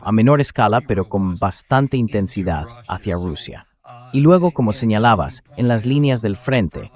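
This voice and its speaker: A man's voice: fairly low pitch, a little mellow. A synthetic AI man's voice reading a dubbed translation of explanatory military commentary.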